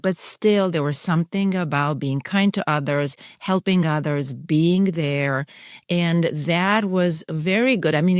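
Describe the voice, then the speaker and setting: A middle-aged woman speaks in a low register, explaining ideas earnestly in long, flowing runs. She is a guest on a podcast interview. The sound is dry and close, with no room echo. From Romania. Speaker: guest psychiatrist and coach.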